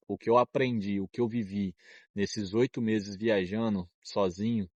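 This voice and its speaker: A man's voice: lowish voice, dry recording. A young man from Brazil in his twenties, vlogging outdoors in a rambling, slangy, laid-back way, often drifting off topic and laughing. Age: 20s. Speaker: travel vlogger. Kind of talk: casual rambling vlog. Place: outdoors in cold wind.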